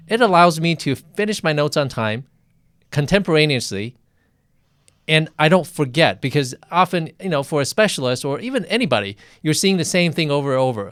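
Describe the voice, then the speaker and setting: A middle-aged man hosting a live interview, his voice smooth and resonant at an ordinary pitch. He talks in a thoughtful, conversational way and often repeats himself. The sound is dry and close, with no room around it. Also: a bit bright, dry recording.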